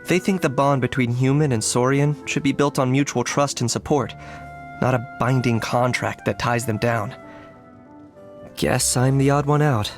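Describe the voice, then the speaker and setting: An actor playing an aloof young male hunter in a video game, with a measured, deadpan delivery full of dry understatement. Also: mid-range voice, natural voice. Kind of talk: scripted video game character voice lines. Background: background music.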